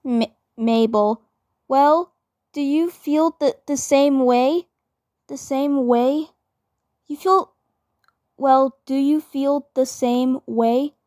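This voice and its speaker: Woman, high voice, slow pace, stammering restarts, repeated phrases, fan-dub roleplay. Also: a little mellow, dry recording, clean studio sound.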